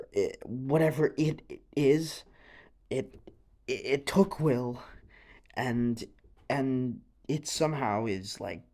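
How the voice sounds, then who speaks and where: A man playing a rattled young American small-town conspiracy believer in an audio roleplay, speaking earnestly in a halting, stammering, rambling confession over the radio. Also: medium pitch, gravelly, darkish tone, breathy edge, exaggerated voice. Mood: determined, uneasy.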